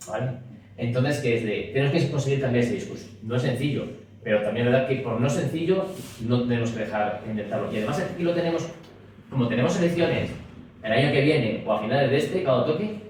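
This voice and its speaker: Fast-talking man in an echoey room. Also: medium pitch, a little mellow. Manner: rapid, talks in restarts with frequent "es decir". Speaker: speaker at a party talk. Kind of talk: public talk and Q&A. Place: meeting room at a party office. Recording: roomy echo, distant mic, faint hiss.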